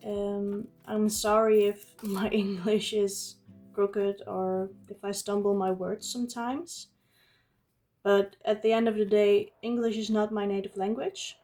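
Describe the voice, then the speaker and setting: A woman's voice: mid-range voice, little pitch movement, measured pace, dark tone, soft background music. A woman from the Netherlands with a Dutch accent, chatting informally in English as a non-native speaker while narrating a craft vlog. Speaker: craft vlogger. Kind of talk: casual narration while journaling.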